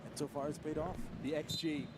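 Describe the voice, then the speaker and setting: A man giving televised play-by-play soccer commentary in a measured, descriptive way. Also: a little high, little pitch movement, crowd noise. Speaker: match commentator.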